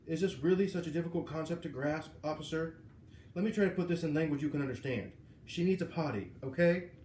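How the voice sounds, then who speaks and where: A man's voice: a little high, quick pace, a little mellow, pitched above the performer's own voice, natural voice. A man voicing a pompous, impatient, silver-haired vice president, sarcastic and condescending, in a storybook reading. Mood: exasperated. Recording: roomy, echoing, noisy home recording.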